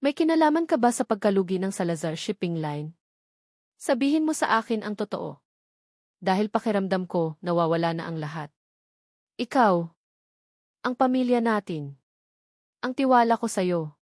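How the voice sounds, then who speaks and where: A woman's voice: medium pitch, expressive, normal speed, long pauses, a little mellow, no room sound, clean studio sound, natural voice. A woman voicing a hurt, distrustful wife with a cold, disappointed manner in a drama story. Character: distrustful hurt wife confronting her husband.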